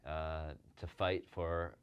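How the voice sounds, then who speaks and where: A man's voice: low-pitched, little pitch movement, slow, clear, resonant tone, highs cut off. A man hosting an interview, speaking casually and praising his guest with many fillers. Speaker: show host and agency owner.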